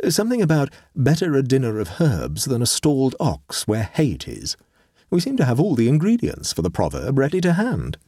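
A man voicing a mild gentleman artist in a storybook reading, precise, dry and politely stubborn. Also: mid-range voice, huge pitch swings, normal speed, slightly rough, no room sound, pitched above the performer's own voice. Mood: calmly reproving. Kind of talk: storybook character voice.

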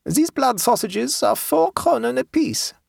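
A narrator voicing a stolid, shrewd peasant woman in a storybook, calm, devout and matter-of-fact. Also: mid-range voice, creaky, breathy edge, dry recording, clean studio sound, pitched above the performer's own voice, heavily acted. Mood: calm haggling. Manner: tranquil, unhurried, matter-of-fact.

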